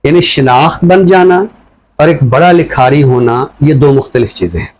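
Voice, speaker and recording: A man with a Pakistani accent speaking in a measured, deliberate way, phrase by phrase, as in a literary talk or recital. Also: fairly high pitch, darkish tone. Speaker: literary show presenter reciting a poem and introducing a book.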